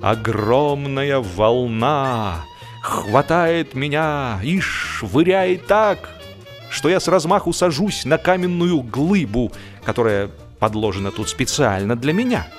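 A man's voice: mid-range voice, lively intonation, slow pace. Theatrical male storyteller over music. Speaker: audiobook narrator. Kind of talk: expressive humorous literary narration.

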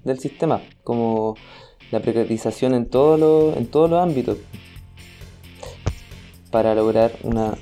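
Mellow Chilean man talking hesitantly. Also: mid-range voice, unhurried, long pauses, soft background music. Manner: hesitant, searching for words, colloquial. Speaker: guest, podcast creator. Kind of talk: casual conversation.

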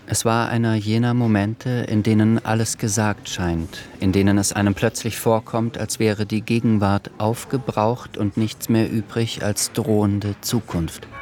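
An actor playing a sober, grave narrator of a historical radio drama, telling it in a measured way. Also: male voice, fairly low pitch, a little mellow, lightly acted. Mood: grave, foreboding.

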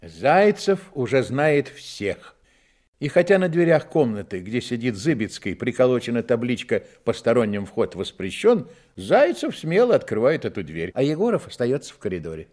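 A man narrating a radio drama as an expressive storyteller in a scripted, dramatic style. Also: mid-range voice, moderate pace. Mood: reflective. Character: storyteller narrating young detectives' lives, expressive.

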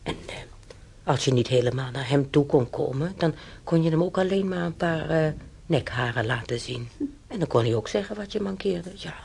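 A man's voice: on the high side, expressive, normal speed, vocal fry, darkish tone, slightly rough, full character voice. An actor in a radio play voicing a chatty, stubborn old German-born man who rambles through his memories with hesitations. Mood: earnest reminiscing. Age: older. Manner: rambling, reminiscing, with hesitations and tag questions. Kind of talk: conversational radio play acting.

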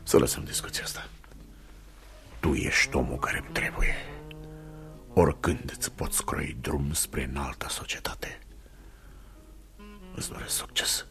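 A wealthy, domineering older father-in-law in a radio play. His voice is exceptionally deep, his delivery blunt, deliberate and commanding. Music plays clearly behind him. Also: steady tone, a bit bright, whispered, heavily acted. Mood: gruff, conciliatory. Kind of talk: radio theatre acting.

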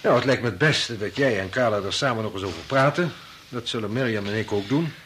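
Low-voiced, measured husband played by an actor. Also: male voice, fairly bright tone, lightly acted. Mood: measured, serious. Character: calm, sensible husband, gently wry and soothing. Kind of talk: radio play acting. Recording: noisy old radio play recording.

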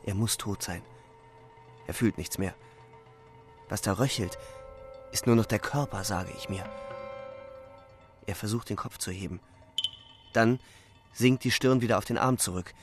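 An actor playing an anguished young soldier in a radio play, delivering a grave, halting, intense first-person monologue. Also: male voice, fairly low pitch, natural voice. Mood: horrified. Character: anguished young male soldier recounting a killing in a shell hole. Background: music.

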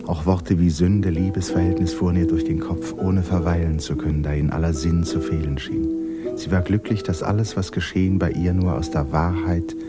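A man's voice: low voice, steady tone, normal speed, lightly acted. A man reading literary narration in a 1950s radio drama. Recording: 1950s radio recording, loud guitar music behind.

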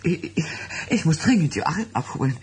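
An actress playing an evasive, flustered woman guarding a secret in a German radio drama, halting and hesitant. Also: deep voice, sing-song, darkish tone, a little hiss or hum. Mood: flustered, hurried.

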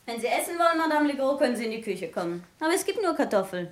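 An actress playing a brazen, spiteful housemaid in a German radio play, insolent and taunting. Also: female voice, high voice, very animated, racing. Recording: echoey room.

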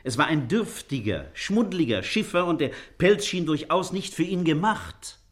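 An actor in a German radio play playing an earnest, educated gentleman, stiffly polite, precise and easily offended. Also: fairly high pitch, animated, normal speed, lightly acted.

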